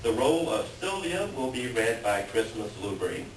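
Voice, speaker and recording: A man introducing a staged play reading as announcer, reading aloud and speaking in a halting way. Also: mid-range voice, big pitch swings. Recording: echoey room, distant mic, clear noise.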